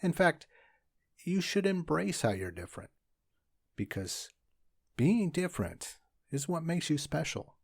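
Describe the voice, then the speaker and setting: A man reading a children's story aloud as narrator, with expressive, deliberate storytelling. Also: a little high, creaky, husky, dry recording, clean studio sound.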